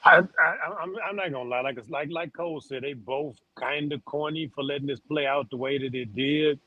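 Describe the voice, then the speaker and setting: Husky american guy on sports panel, kinda high voice, blunt n slangy, keeps restarting. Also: fairly quick, dry recording, muffled recording. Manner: repeats starts, blunt slangy talk. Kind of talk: casual, candid sports debate.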